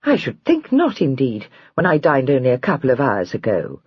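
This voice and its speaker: A narrator voicing a warm-hearted young wife who turns pettish and easily moved to tears. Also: female voice, a bass voice, huge pitch swings, moderate pace, husky, dry recording, dull audio, full character voice. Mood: dismissive. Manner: pettish, then tearful and gushing.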